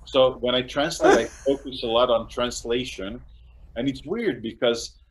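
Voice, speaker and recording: A middle-aged man with a Serbian accent, hosting a casual video-call interview in English as a second language, speaking haltingly with frequent restarts and small laughs. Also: mid-range voice, rough recording. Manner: halting, restarts often, laughs between phrases. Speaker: interview host and course translator. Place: home room indoors. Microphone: webcam on a video call.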